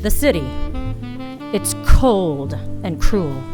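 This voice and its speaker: A woman's voice: fairly low pitch, big pitch swings, slow pace, over music. An actress playing a bitter, world-weary woman detective in a noir radio drama, with a cynical, brooding delivery. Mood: bleak, bitter.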